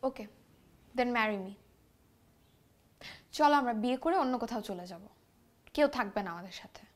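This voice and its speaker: Actress as high-voiced, insistent young Bangladeshi lover. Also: female voice, animated, moderate pace, natural voice. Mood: insistent, jealous. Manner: pressing, mixes in English phrases. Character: insistent, possessive young woman in love. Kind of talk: natural TV drama acting.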